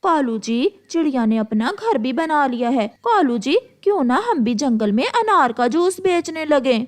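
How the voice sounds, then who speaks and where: A woman as a pushy high-pitched cartoon lady crow. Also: sing-song, a little slow. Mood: scheming. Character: scheming, bossy female crow in a children's cartoon.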